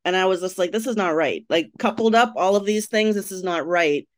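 A woman's voice: medium pitch, fairly quick, no room sound, studio quality. A woman from Trinidad, a writer and blogger, chatting as a podcast guest and telling her story candidly with frequent laughs. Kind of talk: casual interview chat.

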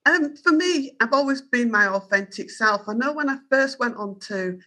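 A woman's voice: a little high, expressive, normal speed, sharp tone. A woman in her fifties with a Yorkshire accent, a journalist answering interview questions in an earnest, firm and self-assured way. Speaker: journalist and guest.

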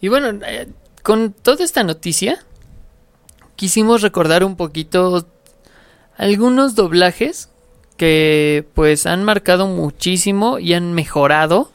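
A co-host, talking in a measured, informative way in a casual chat. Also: medium pitch, lively intonation, smooth, no room sound.

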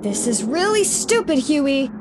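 A woman's voice: sits high, sing-song, measured pace, background noise. A woman playing a sensible, exasperated teenage girl in an audio drama, snarky and scolding as a reluctant sidekick. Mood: reluctant, annoyed.

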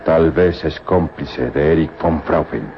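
A man acting in an old-time radio drama as a suspicious, forceful Middle Eastern desert guide, speaking in emphatic declamation. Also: rumbling low, unhurried, slight background noise. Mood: grave warning. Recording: muffled old radio recording, loud music behind.

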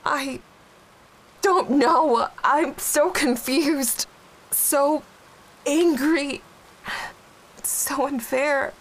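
An actress playing a young widowed mother, halting and raw with grief, in a dramatic dialogue. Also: medium pitch, fairly even intonation, close to an everyday voice, low-quality recording. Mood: bitter, grieving. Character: grieving young widowed mother, guilt-ridden.

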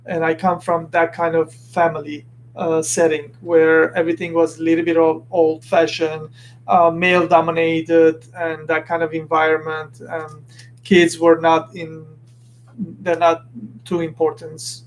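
High-voiced man, earnest and halting. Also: monotone, slight background noise, poor audio. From Lebanon. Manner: earnest, searching for words, restarts often. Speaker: podcast host. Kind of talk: casual motivational monologue.

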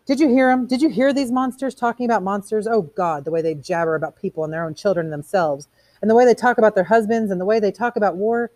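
A woman's voice: low voice, sing-song, fast talker, few pauses, warm tone, no room sound, heavily acted. A woman voicing an agitated, stubborn man from a novel, blunt and heated. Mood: indignant, heated.

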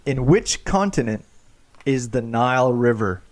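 A man's voice: medium pitch, animated, measured pace. A man hosting a trivia game on a podcast, joking and often repeating his words as he reads questions. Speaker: quiz host.

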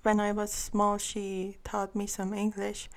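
A woman's voice: medium pitch, monotone, measured pace. A woman in her thirties from Iran, answering interview questions with careful, thoughtful phrasing. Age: 30s. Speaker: guest artist.

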